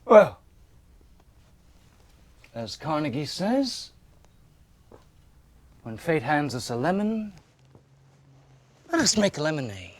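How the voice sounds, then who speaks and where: Man playing a theatrical, swooping period gentleman swindler. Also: a little high, very animated, slow pace, long pauses, heavily acted. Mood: angry, scheming. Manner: theatrical, grandiose. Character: smooth, scheming 1890s gentleman swindler with a short temper. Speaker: stage actor. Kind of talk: period stage drama acting. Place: theatre stage, indoors. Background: music.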